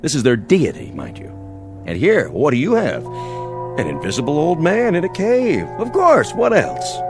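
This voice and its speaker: A man's voice: on the high side, wildly swooping pitch, unhurried, over music, full character voice. An American man playing a domineering, mocking army major in an audio drama, talking in sarcastic, slangy, menacing lines. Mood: mocking, scornful. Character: domineering, mocking army major enforcing order after nuclear war.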